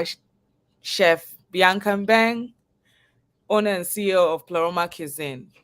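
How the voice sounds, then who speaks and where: A woman's voice: medium pitch, a bit bright. Cameroonian woman telling her story. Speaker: guest, chef and business owner. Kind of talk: personal storytelling in a live interview.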